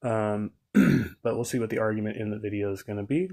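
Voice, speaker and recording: A man's voice: on the low side, brisk. A man with a scratchy throat hosting a livestream, commenting casually and thinking aloud as he reviews a video. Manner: relaxed, thinks aloud with fillers and throat clearing.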